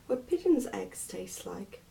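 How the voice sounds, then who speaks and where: A woman voicing a polite, curious little princess in a storybook reading, with a lively, lightly emphatic manner. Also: medium pitch, slow pace, vocal fry, far from the mic, a little hiss or hum, heavily acted, low-quality recording.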